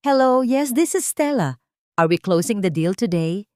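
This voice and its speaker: A woman's voice: medium pitch, expressive, moderate pace, a little mellow, no echo, clean studio sound, pitched above the performer's own voice, lightly acted. A woman voicing a haughty, ambitious rich mother who speaks in an imperious, demanding way in a narrated story. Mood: arrogant.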